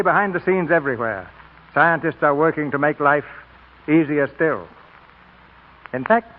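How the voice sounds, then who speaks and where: An American man hosting and narrating a scripted 1940s radio theater show in a formal, measured way. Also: a little high, phone-quality sound. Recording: thin old radio broadcast, music behind.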